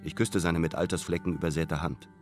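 Actor as deep-voiced, brooding narrator man. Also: little pitch movement, a little fast, darkish tone, soft background music, lightly acted. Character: brooding, lovelorn returning man, former painter's apprentice. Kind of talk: literary first-person narration in German radio play.

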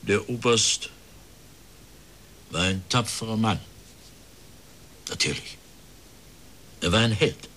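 Resigned middle-aged company director, radio drama, bright forceful voice, emphatic, faint rumble behind. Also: male voice, slow pace, long pauses. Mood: earnest. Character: bitter, resigned plump factory director of fifty-one.

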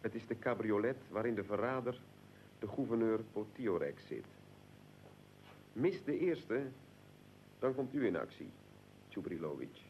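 A man playing a fervent, solemn nationalist conspirator leader in a radio drama, speaking in a deliberate, emphatic, oratorical way. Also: fairly low pitch, expressive, lo-fi sound, slight background noise. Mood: determined.

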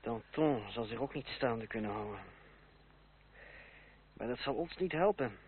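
An actor playing a shrewd, detached young man in a radio drama, explaining things in a measured, self-assured way. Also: medium pitch, animated, lightly acted. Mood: earnest. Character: shrewd, detached young nephew, former revolutionary on the run. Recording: tinny, faintly noisy old radio recording.

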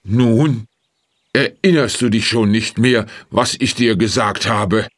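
Casting: male actor for rich, dim, spiteful farmer in fairy-tale story, deliberate pace, lowish, scolding and threatening. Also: dry recording, studio quality. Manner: gruff, menacing, scolding. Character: big rich spiteful humorless dim-witted peasant man. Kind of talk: acted fairy-tale audio story dialogue.

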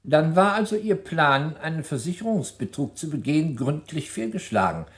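An actor playing a sceptical, formal public prosecutor questioning someone in a German crime radio play, incisive in manner. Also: male voice, on the high side, expressive, normal speed, natural voice.

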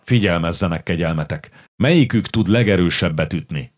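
Man doing a cunning chancellor, low, calm, talking folks around. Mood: persuasive. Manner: measured and persuasive.

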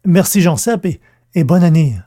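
A man's voice: on the high side, lively intonation, normal speed. A man hosting a podcast, reading a presentation aloud in a roomy recording. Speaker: podcast host. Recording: roomy sound with faint music.

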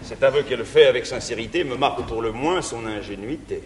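A man's voice: mid-range voice, natural voice. Jealous middle-aged guardian, pompous male actor, old roomy live recording with faint hiss. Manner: pompous, measured verse with sudden swells. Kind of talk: 1950s stage verse comedy.

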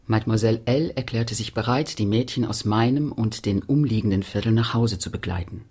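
A man playing the sober, confessional narrator of a childhood memoir in a literary radio play, speaking in a measured, grave way. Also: lowish voice, a little mellow, slightly rough. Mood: sober recollection.

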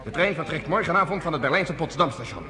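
A man playing a harried, brisk rescue organizer. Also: fairly high pitch, animated, long reverb tail, a crowd in the background, lightly acted. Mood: curt. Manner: rapid, clipped, businesslike. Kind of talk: radio drama acting.

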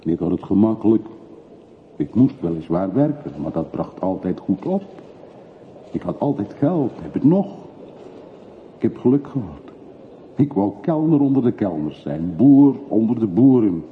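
A man's voice: fairly low pitch, very animated, unhurried. An actor playing a brooding middle-aged man back from long exile in a radio play, terse, evasive and reflective. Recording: muffled phone-like old radio recording, faint hiss.

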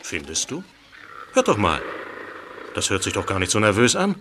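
Man playing an evasive park owner, lowish swooping voice, noisy recording. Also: huge pitch swings, normal speed, fairly bright tone. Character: middle-aged male wildlife park owner, evasive. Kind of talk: amateur radio play acting.

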